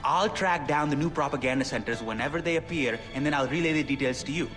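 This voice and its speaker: An actor playing an excitable young pirate-radio DJ in a video game, chattering fast with slang. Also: male voice, fairly high pitch, close to an everyday voice. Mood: excited. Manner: fast, animated, self-promoting chatter with slang. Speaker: radio host character. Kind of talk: video game cutscene acting. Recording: game audio with music and hall reverb.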